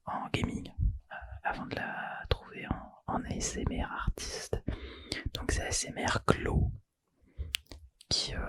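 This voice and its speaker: A man's voice: fairly bright tone, no echo. A young man whispering a casual, slangy chat for an ASMR channel, close to the mic. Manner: casual and slangy, self-deprecating. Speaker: ASMR YouTuber.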